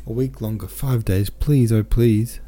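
A man with a deep voice reading the lines of a sad, pleading young woman from a novel. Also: fairly even intonation, unhurried, dark tone. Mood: tearful.

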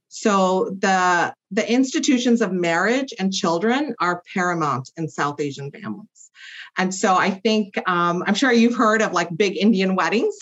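A woman clinical psychologist explaining as a podcast guest, with many fillers and tag questions. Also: fairly low pitch. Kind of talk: podcast interview. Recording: muffled lo-fi audio.

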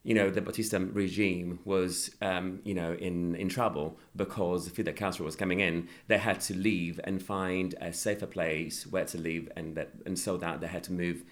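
A man from Sicily, an actor being interviewed, talking casually with many pauses and restarts. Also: deep voice, fairly even intonation. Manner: hesitant, many fillers and restarts. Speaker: actor guest.

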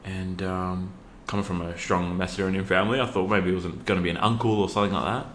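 A man from Western Australia with an Australian accent, talking as an interview guest in a relaxed, laid-back way with plenty of fillers. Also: deep voice, fast talker, slight background noise. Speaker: podcast guest, youth worker. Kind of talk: casual interview talk. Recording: echoey room.

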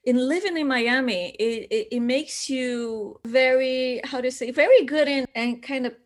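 Middle-aged Brazilian woman, halting and laughing. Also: high voice. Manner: laughs often, halting phrasing. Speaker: guest artist. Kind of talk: casual interview chat.

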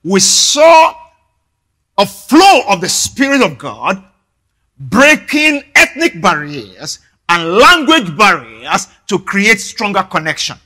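A man from Nigeria, a native Yoruba speaker, preaching a sermon earnestly, often repeating words and filling pauses. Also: sits high, sing-song, pushed and bright. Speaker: pastor.

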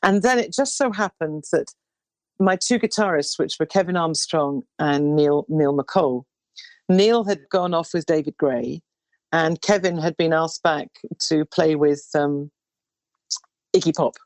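A woman in her sixties, a singer with a low baritone voice, telling stories in a rambling interview chat with many restarts. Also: a little slow, no echo. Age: 60s. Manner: rambling, warm storytelling with restarts.

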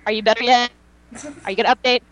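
A woman's voice: fairly high pitch, steady tone, fast talker, fairly bright tone. A woman chatting casually as a fan podcast panelist, speaking in a gushing, emphatic and dramatic way.

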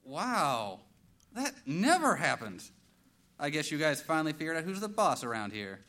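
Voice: mid-range voice, sing-song, moderate pace. An actor playing an irritable, sarcastic teenage gamer son with a whiny manner in a live audio drama. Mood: sarcastic grumbling. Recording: live stage with loud crowd noise.

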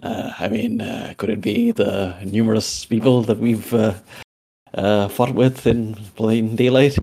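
A man playing a weary, dry-humoured character in a tabletop game, speaking haltingly in a gravelly, husky voice. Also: lowish voice, fairly even intonation, warm tone. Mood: hesitant.

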